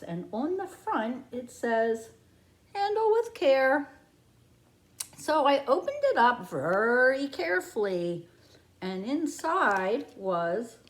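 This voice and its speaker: A woman reading a picture book aloud to young children like a preschool teacher, with expressive, exaggerated wonder. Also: a little high.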